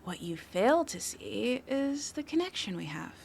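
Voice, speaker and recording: A woman acts out a sly, flirty female suspect in an audio roleplay, toying with a detective. Her delivery is unhurried and highly animated, swinging in pitch and settling into a creaky edge. She stays confident, coy and playful. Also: a little hiss or hum, exaggerated voice. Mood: seductive, persuasive. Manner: coy, teasing, playful delivery with breathy drops into whispers. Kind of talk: flirtatious scripted audio roleplay, addressed to the listener.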